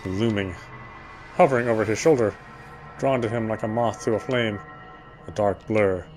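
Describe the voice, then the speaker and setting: A man reading scripted first-person narration from a horror visual novel in a measured, literary manner. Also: lowish voice, a little mellow, faint music behind. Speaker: player reading the narration aloud.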